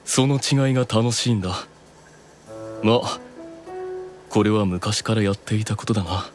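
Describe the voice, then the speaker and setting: An actor playing a proud, keenly observant demon eldest brother in an anime audio drama, explaining things with deliberate authority. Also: male voice, fairly low pitch, over music, close to an everyday voice. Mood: quietly absorbed.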